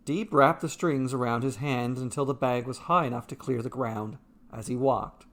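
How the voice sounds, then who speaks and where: Guy reading out a fantasy short story, normal mid pitch, narrator style. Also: fairly even intonation, normal speed, fairly bright tone.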